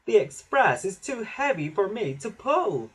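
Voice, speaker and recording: Guy as a tired little tram engine, plaintive, kids story, raised pitch, a bit husky. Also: sing-song, measured pace, fairly bright tone, full character voice. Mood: weary. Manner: puzzled, plaintive. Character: Toby, humble, weary little male steam tram. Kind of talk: children's storybook character voice.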